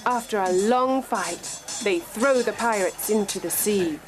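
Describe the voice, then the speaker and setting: A woman narrating a scripted children's story for language learners, deliberate and carefully enunciated. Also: mid-range voice, music in the background, rough recording.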